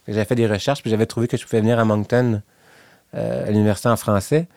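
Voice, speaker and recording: A man's voice: fairly low pitch, fairly even intonation, racing, gravelly, a little mellow, dry recording. Quebec french guy chatting, lots of restarts and stumbles, dry close sound. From Quebec City region. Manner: hesitant, many restarts, laughs while telling stories. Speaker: interviewee. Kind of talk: casual chat.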